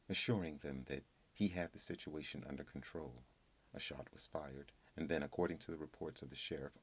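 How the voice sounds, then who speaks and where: A man's voice: a bass voice, husky, low-quality recording. A man reading a scripted encyclopedia article as a narrator.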